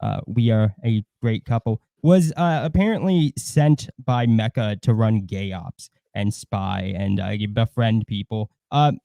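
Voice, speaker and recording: Mellow, halting man chatting on a livestream. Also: on the low side, vocal fry, no room sound. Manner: rambling, halting, frequent swearing. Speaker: co-host of a livestream. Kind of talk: casual live commentary and gossip.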